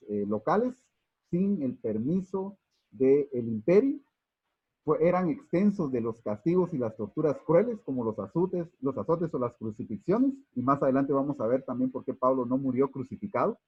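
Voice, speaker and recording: A man with a husky voice teaches a Bible class, halting often and restarting his sentences. He speaks warmly to his listeners as brothers. The recording is dry and a little dull. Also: medium pitch, no room sound. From Guatemala. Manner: hesitant, many fillers and restarts, addresses listeners as brothers. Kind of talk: unscripted Bible class lecture.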